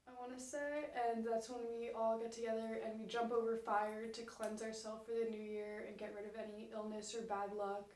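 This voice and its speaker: A woman's voice: a little high, little pitch movement, a little fast, hardly any pauses, darkish tone. Young woman student, casual, "like"-filled. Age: young adult. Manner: casual, frequent "like" and "um". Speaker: student interviewee. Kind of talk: casual interview. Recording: echoey room, voice from far off.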